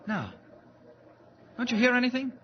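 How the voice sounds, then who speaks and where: An actor playing a courteous, modest young male reporter in a 1940s radio serial, earnest and soft-spoken. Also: extremely high pitch, sing-song, normal speed, background noise. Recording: dull lo-fi old radio broadcast.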